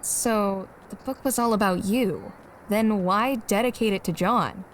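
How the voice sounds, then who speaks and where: A woman plays a teenage girl who sounds nonchalant and blunt. Her pitch is average for a woman, and this amateur comic dub has clear noise behind the voice. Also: a little slow, close to an everyday voice. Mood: nonchalant, curious.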